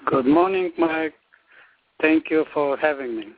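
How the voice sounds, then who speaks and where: Bulgarian-accented man, hesitant answers, slow pace, phone-quality audio. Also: a little high, dry recording. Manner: slow, halting, with many pauses and fillers. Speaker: guest artist. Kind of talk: interview answers. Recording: tinny phone line.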